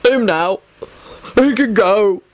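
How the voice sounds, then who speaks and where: A woman voicing a big, dim, bald male thug who talks in rough slang, by turns blubbering and menacing, in an audiobook story. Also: fairly low pitch, very animated, takes it slowly, a little mellow, no echo, exaggerated voice. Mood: anguished, sobbing. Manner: rough uneducated slang, mumbling and bawling.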